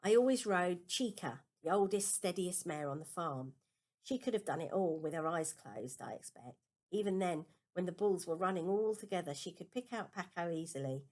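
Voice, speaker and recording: Lower-pitched woman reading a storybook aloud. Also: lively intonation, moderate pace, a little mellow. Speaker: amateur audiobook reader. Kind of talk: storybook narration, first-person children's novel read aloud.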